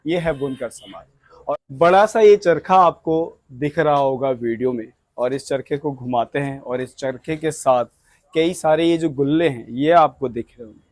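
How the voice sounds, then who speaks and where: A man working as a field reporter, interviewing on location and explaining in a halting way, often repeating and rephrasing. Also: mid-range voice, no room sound. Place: handloom workshop.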